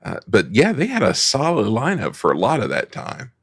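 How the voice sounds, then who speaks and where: A man chatting casually as a podcast panelist, haltingly thinking aloud. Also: mid-range voice, huge pitch swings, slightly rough, no room sound, clean studio sound.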